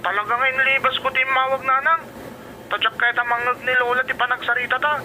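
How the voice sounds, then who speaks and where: A man's voice: very high-pitched, level tone, fast, bright, forceful tone, a little hiss or hum, full character voice, poor audio. A man playing a spoiled, wheedling teenage student in a radio drama, whiny and pleading, with a Filipino accent.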